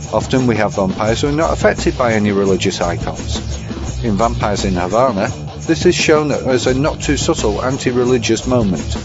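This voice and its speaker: A man presenting a scripted essay segment in a measured, formal, bookish way. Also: lowish voice. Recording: muffled audio with music behind.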